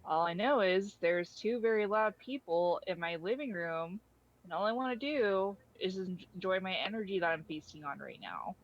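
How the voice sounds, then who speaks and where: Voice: medium pitch, moderate pace, muffled recording, lightly acted. A performer playing the Void, a laid-back, mildly annoyed cosmic entity, casual and dry, in an audio drama. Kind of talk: hobby audio drama dialogue.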